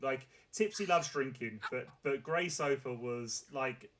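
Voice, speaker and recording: A man hosting a relaxed streamed chat show in an ordinary mid-range voice. He talks in loose, rambling bursts, padding his questions with fillers and starting over mid-sentence. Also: medium pitch, a little slow, slight background noise. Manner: rambling, filler-heavy questions with many restarts. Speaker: talk show host.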